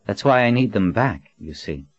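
A man's voice: lowish voice, lively intonation, moderate pace, dry recording, lo-fi sound, faint music behind, voice pushed up. A man voicing a cocky young male assassin, boyish and mocking, with falsely polite, offhand talk. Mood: smug.

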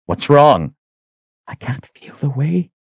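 A man's voice: mid-range voice, expressive, moderate pace, mellow, no echo, lo-fi sound, pitched above the performer's own voice, natural voice. A man voicing a stern, frightened and devout religious sister in an earnest, scolding manner, as in an audiobook. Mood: frightened, urgent.

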